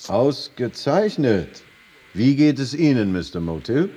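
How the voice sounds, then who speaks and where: A brisk, husky chaplain, reverberant. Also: male voice, mid-range voice, sing-song, dark tone. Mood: courteous. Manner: short didactic phrases, checking with "okay". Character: brisk, businesslike male prison chaplain, glibly simplifying doctrine. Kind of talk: German radio drama acting. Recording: long hollow reverberation.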